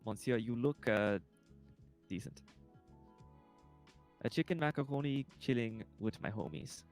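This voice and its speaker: Figure: a man doing a quirky fellow with a made-up German-Finnish accent. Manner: bouncy, with line endings that lift like questions. Pitch: middle. Behind: faint music. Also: unhurried, close to an everyday voice. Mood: goofy. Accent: made-up blend of German and Swedish with a Finnish lilt. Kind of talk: goofy one-liners on a livestream.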